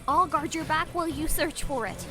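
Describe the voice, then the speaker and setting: A man voicing a fierce, urgent female ninja warrior who yells in battle, in a dramatised fantasy story. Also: very high-pitched, expressive, normal speed, music in the background, voice pushed up. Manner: yelling, urgent. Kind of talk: dramatised fantasy audiobook dialogue.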